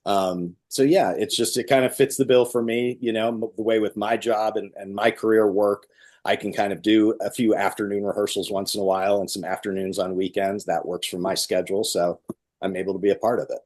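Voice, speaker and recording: A man's voice: on the low side, flat delivery, brisk, darkish tone. A man talking casually as a guest in an interview about his acting, explaining at length in a rambling way. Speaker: guest, amateur stage actor.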